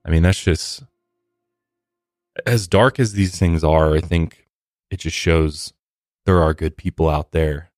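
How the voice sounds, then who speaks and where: Very deep-voiced male podcast host. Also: fairly even intonation, darkish tone, dry recording, studio quality, soft background music. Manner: earnest and measured, with occasional fillers. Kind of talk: true crime podcast narration and commentary.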